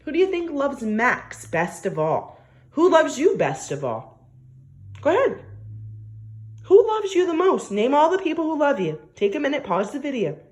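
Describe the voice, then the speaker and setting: A woman teacher reading a story aloud to young children, expressive and coaxing, often asking questions and stressing key words. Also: high-pitched, moderate pace. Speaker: primary school teacher.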